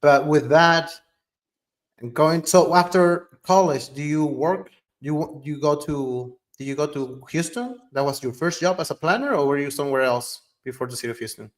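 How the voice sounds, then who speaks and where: Halting man hosting a conversational interview. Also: fairly high pitch. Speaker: interviewer, host, urban planner.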